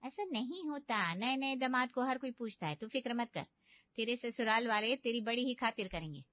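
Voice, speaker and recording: A woman playing a young, bossy elder sister in an animated comedy story, scolding and teasing with sarcasm. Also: on the high side, moderate pace, no room sound, natural voice. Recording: dull, lo-fi studio voice-over.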